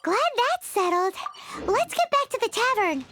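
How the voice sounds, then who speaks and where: A woman voicing a tiny, excitable, childlike fairy companion in a video game, dramatic and exclamatory. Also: very high-pitched, a little slow, noisy background, exaggerated voice. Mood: relieved. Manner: refers to self in third person, exclaims. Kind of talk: animated video game voice acting. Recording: game music behind the voice.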